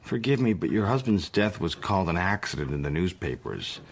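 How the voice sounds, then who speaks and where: An actor playing a cool, secretive criminal who tells his own story in a radio drama, in a measured, understated and wry way. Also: low-pitched, big pitch swings, a little hiss or hum. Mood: politely probing. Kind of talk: radio drama, first-person narration and dialogue.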